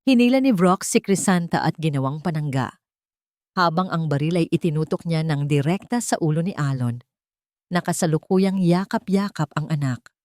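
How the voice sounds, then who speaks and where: A woman's voice: sits low, animated, normal speed, a little mellow, dry recording, studio quality. A woman narrating a dramatic scripted story in an intense, emotive way.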